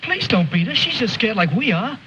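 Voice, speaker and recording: A naive young soldier in an old war film, high-pitched, anxious and earnest. His pleading intonation rises and falls widely on a dull soundtrack. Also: sing-song, normal speed, sharp tone, slight background noise, heavily acted. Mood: worried, protesting. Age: young adult.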